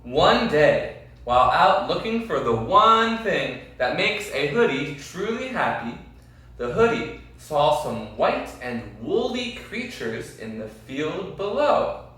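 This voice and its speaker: A man reading a children's story aloud expressively, with deliberate, dramatic phrasing and questions to his listeners. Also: a little high, sing-song, sharp tone. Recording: very echoey room, distant mic.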